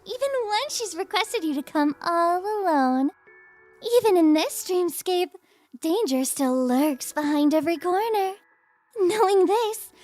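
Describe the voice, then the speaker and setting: A playful young masked trickster girl for an ASMR audio roleplay. Her voice is extremely high and she takes her time, stretching phrases with coy, mock-innocent taunts. Faint music plays behind her. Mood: teasing. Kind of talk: ASMR audio roleplay, scripted character acting.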